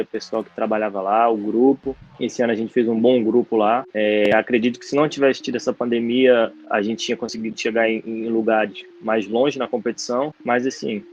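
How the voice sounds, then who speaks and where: A man's voice: medium pitch, darkish tone, highs cut off. A 25-year-old Brazilian man from Brasília, talking as a guest in a casual, slangy interview over a video call. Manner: easygoing, slangy. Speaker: guest footballer.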